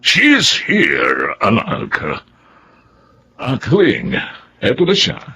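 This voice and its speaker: Raspy, gravelly older father. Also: medium pitch, huge pitch swings, extremely slow, sharp tone, full character voice. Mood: fatherly. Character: fatherly older widowed businessman, gentle with his daughter. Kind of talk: Filipino radio drama acting.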